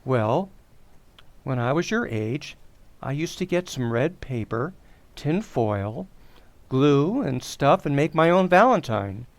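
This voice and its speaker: A man's voice: medium pitch, very animated, slow pace, dry recording. A man acting a well-meaning, opinionated suburban husband and father in an old-time radio sitcom, in a hesitant, folksy manner. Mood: mildly defensive. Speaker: actor in community radio theater.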